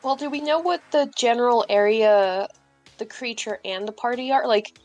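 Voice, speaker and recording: Female tabletop player, fairly high voice, informal and sweary, faint music underneath. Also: normal speed, a little mellow, muffled recording. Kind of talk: casual in-game chat.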